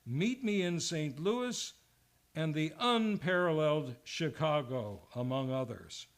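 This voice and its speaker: A male radio announcer with a slightly high voice and a slow pace. His scripted promo read is showy and upbeat. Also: animated. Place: radio studio, live performance.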